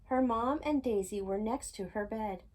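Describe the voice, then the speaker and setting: A woman's voice: a little high, moderate pace, darkish tone, low-quality recording. A woman reading a children's storybook aloud expressively, with chatty asides to the listener. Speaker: storytime reader.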